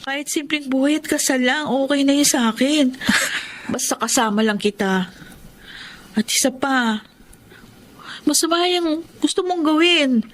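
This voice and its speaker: Casting: lovestruck young Filipina nurse, high voice, earnest and plaintive, audio melodrama, faint noise behind. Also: female voice, measured pace, pauses often. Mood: pleading. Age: young adult. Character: devoted, conscience-torn young nurse in love. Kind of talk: Filipino radio-style melodrama acting.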